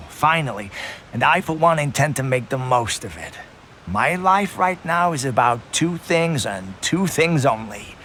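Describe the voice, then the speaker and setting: A man's voice: mid-range voice, fairly bright tone, slight background noise. A man playing a lazy, drowsy male soldier who keeps to himself and sighs as he talks. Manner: drowsy, sighing, unhurried.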